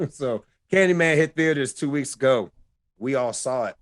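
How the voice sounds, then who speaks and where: A man co-hosting a podcast in casual discussion, explaining his views carefully and deliberately. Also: medium pitch, animated, dry recording. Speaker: podcast co-host.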